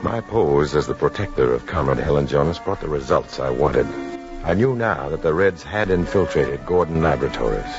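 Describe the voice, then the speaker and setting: A man's voice: rumbling low, expressive, moderate pace, over music. An actor playing a defiant, sardonic undercover man with a dry, clipped manner in a 1950s radio drama. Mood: grave, narrating. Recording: noisy, dull 1950s radio broadcast.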